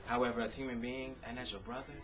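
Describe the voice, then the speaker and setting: American guy doing spoken word, expressive delivery, kinda muffled camcorder audio w a bit of noise. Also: medium pitch, quick pace. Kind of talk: spoken word poetry reading with casual introductions. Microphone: camcorder microphone. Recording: dull, noisy consumer camcorder sound.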